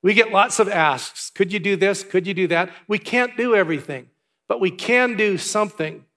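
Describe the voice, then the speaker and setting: A pastor from Canada giving church announcements and a sermon in a chatty way, with asides and filler words. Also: male voice, high voice, normal speed, bright, forceful tone. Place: large church hall. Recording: long hall reverb.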